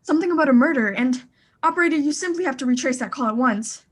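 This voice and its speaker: A high-pitched woman's voice in a suspense radio play acted by a woman. She is an anxious, sickly wife who demands to be heard, fretful and theatrical in her delivery. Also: animated, fast talker. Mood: horrified, agitated.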